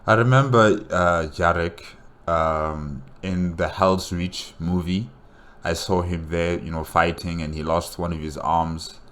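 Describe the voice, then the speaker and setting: Very deep-voiced man reacting off the cuff. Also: fairly even intonation, a bit bright. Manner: halting, repetitive, often says "you know". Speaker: reaction video host. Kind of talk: casual off-the-cuff reaction commentary.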